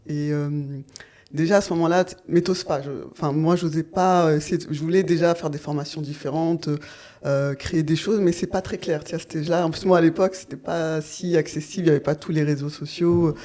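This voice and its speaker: A woman's voice: sits low, fairly even intonation, a little fast, warm tone. A woman in her thirties from Paris, a nurse talking as a podcast guest in a reflective, hesitant way with many fillers. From Paris, France. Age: 30s. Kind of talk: casual interview chat.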